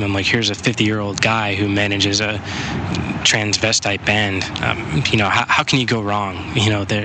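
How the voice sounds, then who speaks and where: A man's voice: fairly low pitch, background noise. A young man, a native English speaker, telling an enthusiastic spoken diary as a podcaster, chatty and repeating himself as he goes. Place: walking outdoors on a city street.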